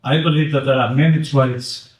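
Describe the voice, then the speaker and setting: A man's voice: mid-range voice, fairly even intonation, moderate pace. A man with a Portuguese accent giving a chatty, joking talk and quizzing the audience. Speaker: invited speaker. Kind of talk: interactive public talk. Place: community event room. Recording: roomy echo, mic at a distance.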